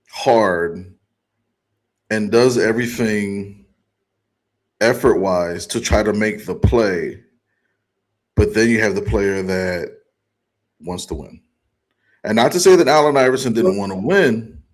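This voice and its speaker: A man co-hosting a casual sports podcast, thoughtful and halting, with many pauses and restarts. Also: fairly low pitch, poor audio. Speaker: podcast co-host.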